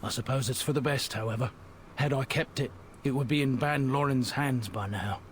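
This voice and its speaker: A man acts out a wounded royal guard on the run in a fantasy video game. He is mid-pitched, earnest and weary, heavy with guilt, with faint noise behind him. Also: moderate pace, close to an everyday voice. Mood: rueful. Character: wounded, guilt-ridden fugitive soldier, former royal guard. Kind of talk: dramatic fantasy game acting.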